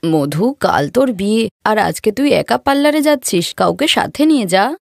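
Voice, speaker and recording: Woman acting a fretful middle-aged mother, creaky voice. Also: mid-range voice, expressive, normal speed, no echo, clean studio sound. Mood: worried. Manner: fretful, complaining. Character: worried middle-aged mother of a runaway bride. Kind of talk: Bengali animated moral story acting.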